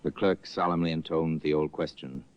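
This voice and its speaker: A man's voice: rumbling low, fairly even intonation, moderate pace. A man narrating a 1950s radio mystery in a solemn storytelling way. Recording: dull old radio recording with faint noise.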